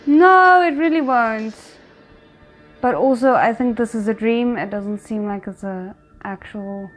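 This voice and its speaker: Higher-pitched woman giving casual reaction commentary. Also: unhurried, soft background music. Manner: exclaims and muses aloud in short remarks. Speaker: reaction video host.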